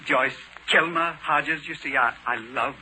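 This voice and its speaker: A mild little oddball in an old radio drama, with a highish, very bright voice. He is hesitant and dreamy, on a faintly hissy vintage recording. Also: a little slow, rough recording. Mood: dreamily hesitant. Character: meek, jolly-looking little eccentric tree lover. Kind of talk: 1940s radio crime drama acting.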